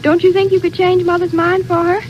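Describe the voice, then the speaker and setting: A young woman with an extremely high voice speaks scripted lines for 1930s radio. The old recording carries a faint hiss. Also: flat delivery, a little fast, dull audio.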